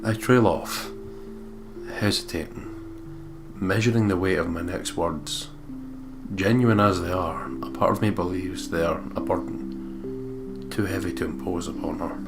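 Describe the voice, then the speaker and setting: A man reading a visual novel aloud as a let's play host, in expressive scripted narration. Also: sits low, unhurried, vocal fry. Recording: guitar game music behind voice. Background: acoustic guitar game soundtrack.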